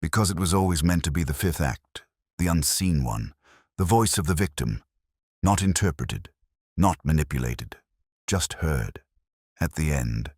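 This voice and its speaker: A man's voice: extremely low pitch, animated, measured pace, a little mellow, husky, no room sound, clean studio sound, exaggerated voice. A man voicing a grave, brooding male police inspector who speaks tersely and solemnly in an audio mystery.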